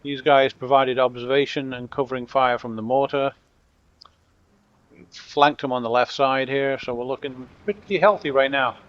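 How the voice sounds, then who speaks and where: Gameplay host, middle-aged guy with a muddled British-American accent, dry and joking, mid-pitched, dull lo-fi sound. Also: normal speed, no room sound. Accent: mixed British and American. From Britain. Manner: relaxed, wry, talks to his troops jokingly. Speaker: gameplay commentator. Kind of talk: casual strategy game commentary. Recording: dull lo-fi audio.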